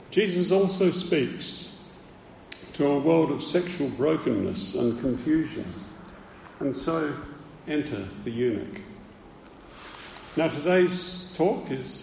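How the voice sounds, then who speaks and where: Man, sermon, earnest deliberate delivery, unhurried pace, long hall reverb, muffled lo-fi sound. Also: mid-range voice, vocal fry, darkish tone, a little hiss or hum. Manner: earnest, deliberate teaching with emphatic stresses. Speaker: preacher. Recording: roomy, dull church hall recording.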